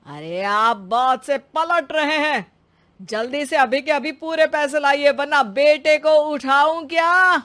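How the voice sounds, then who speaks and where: A haughty middle-aged father of the groom acted out by a woman in a cartoon story. The voice is on the high side for the role, demanding and threatening, full of greed. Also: slow pace, fairly bright tone. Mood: angry, threatening.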